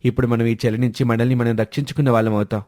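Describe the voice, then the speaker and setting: A man's voice: mid-range voice, level tone, rapid-fire, mellow, natural voice. A man playing a dutiful grown son in a family story, earnest and respectful. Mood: resolute. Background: background music.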